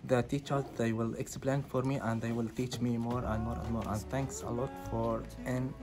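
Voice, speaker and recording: A man's voice: mid-range voice, flat delivery, moderate pace, over music. A young man, a non-native English speaker and learner, giving a testimonial to camera. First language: not English. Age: young adult. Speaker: English learner, college student.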